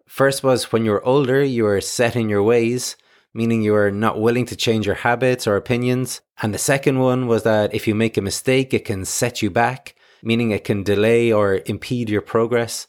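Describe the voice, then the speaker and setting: A man hosting an English lesson, clearly explaining vocabulary and phrasal verbs with light personal asides. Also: medium pitch, steady tone, normal speed. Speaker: English teacher and host.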